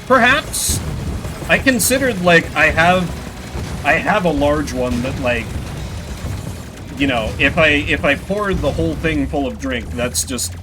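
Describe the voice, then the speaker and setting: A man streams a video game with a lively, fairly high voice that swings widely in pitch. He rambles to his chat, curses freely and pokes fun at himself. Game music plays clearly behind him. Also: unhurried, noisy background. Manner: sweary, self-deprecating, rambling. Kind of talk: casual live gaming commentary with chat. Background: video game music and sound effects.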